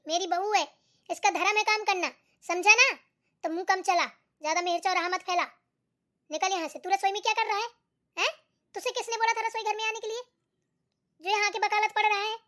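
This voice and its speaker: A woman voicing a scolding, suspicious elderly mother-in-law in a family cartoon, nagging and sharp-tongued. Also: very high-pitched. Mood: angry, domineering. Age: older. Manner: nagging, sharp-tongued, peppered with challenging tag questions. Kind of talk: Hindi family cartoon acting.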